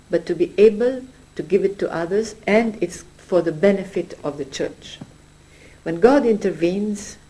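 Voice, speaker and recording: Mellow-voiced woman giving earnest testimony. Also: on the low side, poor audio. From Greece. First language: Greek. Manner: earnest, measured, searching for words. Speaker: religious speaker. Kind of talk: personal spiritual testimony.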